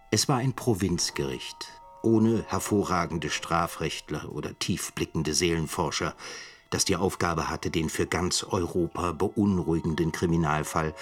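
An actor playing a sober male writer who narrates a radio play in a measured, grave, factual manner. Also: low-pitched. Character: sober male writer chronicling a murder trial.

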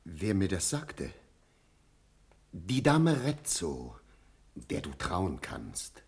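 A man's voice: sits low, wildly swooping pitch, extremely slow, a little mellow, breathy edge, full character voice. An actor playing a grave, deferential courtier in a classical radio drama, solemnly and urgently warning and pleading with his ruler. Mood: urgent, hesitant. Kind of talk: classical German verse radio drama acting.

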